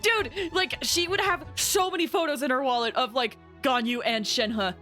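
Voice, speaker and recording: A woman doing casual, animated and jokey live-stream commentary on a game. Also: sits high, normal speed, faint music behind. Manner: animated, jokey, giggly, acts out imagined lines.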